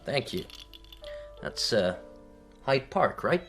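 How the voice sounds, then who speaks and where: An actor playing a smooth-talking, flirtatious male investor in a radio drama, familiar and coaxing. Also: mid-range voice, big pitch swings, takes it slowly, music in the background. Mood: relaxed. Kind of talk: BBC radio drama acting.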